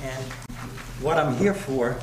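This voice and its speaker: Loud-voiced man addressing a town board. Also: medium pitch, slow. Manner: loud, unamplified voice. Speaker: historical society member presenting to the board. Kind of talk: public presentation at a meeting. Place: town hall meeting room. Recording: echoey room with background noise.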